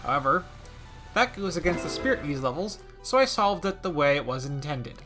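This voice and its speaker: Gaming commentator, scripted narration, very lively intonation, wry playful asides, music behind. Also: medium pitch, a little fast. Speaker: video game commentator.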